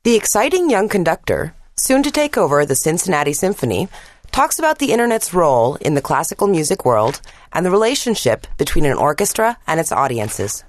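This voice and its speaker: A woman's voice: lowish voice, big pitch swings, a little fast, no room sound. Woman narrating a scripted radio feature.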